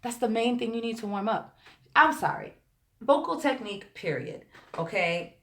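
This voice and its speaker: A woman vocal coach with a slightly hoarse, tired voice, chatting directly to viewers during a live-stream lesson and answering their questions. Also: a little high, lively intonation, normal speed, a little hiss or hum. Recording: roomy echo.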